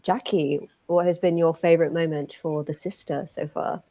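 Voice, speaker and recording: Lower-pitched woman hosting, slightly dull sound. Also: steady tone, moderate pace, mellow, no echo. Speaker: livestream Q&A host. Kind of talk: casual chat.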